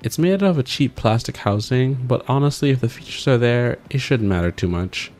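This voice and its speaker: A man's voice: medium pitch, expressive, a little fast, a little mellow. A man doing an upbeat, scripted voice-over for a product review as a tech vlogger. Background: background music.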